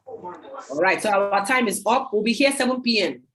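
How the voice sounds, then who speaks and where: Woman host, video-call sales pitch, emphatic and repetitive, mid-pitched voice. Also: lively intonation, normal speed, a bit bright. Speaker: host of a product sales meeting. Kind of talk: sales pitch with audience questions. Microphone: computer mic on a video call.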